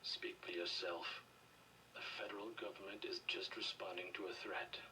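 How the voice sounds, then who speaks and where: A man acting as a cold, middle-aged government official with a low voice, interrogating in a video game. He is measured, condescending and quietly threatening. The audio sounds distant and noisy. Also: flat delivery, lightly acted. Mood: controlled threat.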